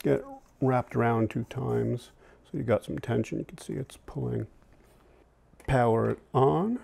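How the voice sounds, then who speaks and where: A man in his sixties informally explaining and demonstrating gear, in a conversational, halting way that often backtracks. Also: mid-range voice, vocal fry, darkish tone, slightly rough. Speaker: audio-visual technician and product reviewer presenting vintage tape gear. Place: indoor room at home, seated at a table.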